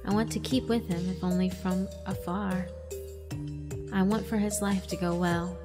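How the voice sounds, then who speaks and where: Visual novel role: gentle ancient demoness played by a woman, solemn, wistful, pausing often, over music. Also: on the low side, fairly even intonation, natural voice. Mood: weary, mournful. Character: gentle female fiend, ancient, weary, wistful, newly freed. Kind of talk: dramatic visual novel narration.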